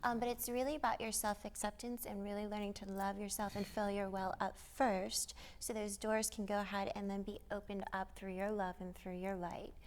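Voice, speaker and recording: A woman speaking as a guest psychic, giving a conversational spiritual reading in a gentle, encouraging, earnest manner. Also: medium pitch, little pitch movement, a little fast, few pauses.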